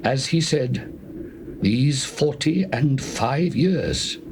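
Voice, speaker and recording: Aged biblical warrior: solemn, steadfast, very slow delivery, noisy tape recording. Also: male voice, fairly low pitch, vocal fry. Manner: solemn, declamatory, deliberate. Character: Caleb, eighty-five-year-old Israelite warrior, steadfast and devout. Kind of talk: dramatized scripture acting.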